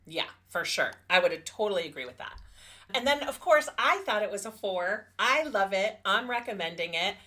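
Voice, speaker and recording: A woman's voice: mid-range voice, lively intonation, normal speed, bright, forceful tone. A woman hosting a casual book review podcast in a chatty, informal and agreeable way. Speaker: podcast host.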